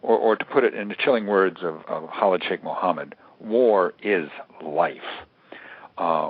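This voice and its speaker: An older American man giving considered, analytical interview answers in a halting, deliberate way, repeating words for emphasis. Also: on the low side, dry recording. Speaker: interview guest, author and security analyst. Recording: narrow tinny phone line.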